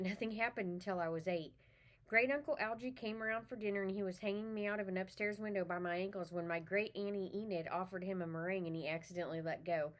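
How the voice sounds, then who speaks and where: A woman's voice: mid-range voice, lightly acted. A woman reading the part of a good-natured young schoolboy wizard in a storybook, telling things in an eager, rambling way.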